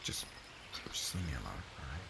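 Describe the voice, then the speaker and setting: A man playing a jealous, wounded young warrior in an ASMR roleplay, speaking in a brusque, halting way. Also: very deep voice, very animated, creaky, heavy background noise, exaggerated voice. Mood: hurt, resigned. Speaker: voice actor in an audio roleplay. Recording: loud outdoor insect and bird ambience.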